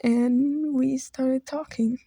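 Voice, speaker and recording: A woman speaks slowly and haltingly, close to tears, often trailing into whispers. Her voice is slightly high but dark and gravelly, with a husky edge. The sound is dry and close. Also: steady tone, no room sound. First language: Brazilian Portuguese. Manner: halting, hesitant, drifting into soft whispers. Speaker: video creator. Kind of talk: emotional personal tribute.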